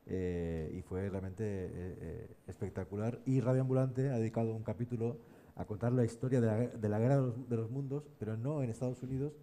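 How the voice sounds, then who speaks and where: A man moderating a live round-table in a conversational, measured and somewhat hesitant way. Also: on the low side, fairly even intonation, mellow.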